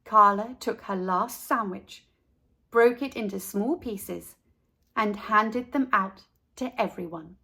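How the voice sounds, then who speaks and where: A woman narrating a children's storybook expressively, with deliberate, dramatic pauses. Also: medium pitch, creaky, bright, forceful tone, slightly rough. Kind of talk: expressive children's read-aloud.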